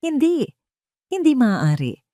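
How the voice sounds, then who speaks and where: A woman's voice: high-pitched, huge pitch swings, takes it slowly, mellow, no echo, clean studio sound, pitched above the performer's own voice, heavily acted. A woman voicing a devoted, anxious young wife in an audio drama, tender and exclaiming. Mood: shocked denial.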